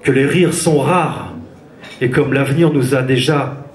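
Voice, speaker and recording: A man's voice: mid-range voice, unhurried. A man from France performing slam poetry on a theatre stage, with a rhythmic, intense spoken-word delivery. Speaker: slam poet. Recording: roomy theatre echo, live.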